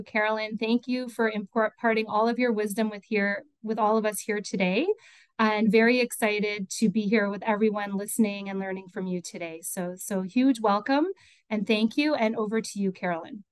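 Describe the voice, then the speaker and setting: A woman moderator with a warm, welcoming and slightly halting manner, introducing a speaker on a video call. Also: mid-range voice, fairly even intonation, smooth, no echo. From Canada.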